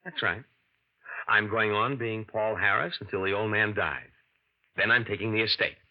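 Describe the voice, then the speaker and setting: Vintage radio crime drama: male actor as cynical, greedy American tough guy, low voice, slangy and defiant, muffled old recording. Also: moderate pace, lightly acted. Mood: cold, defiant. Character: hard-boiled, greedy young male impostor, defiant and self-justifying. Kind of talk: 1940s radio drama acting.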